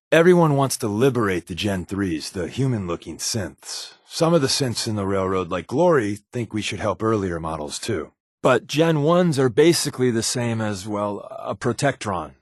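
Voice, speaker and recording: A man's voice: fairly low pitch, dry recording, studio quality. A man playing a wry, sardonic secret agent companion in a video game, talking casually and confidingly with a wisecracking edge. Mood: explaining, thoughtful. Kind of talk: conversational video game voice acting.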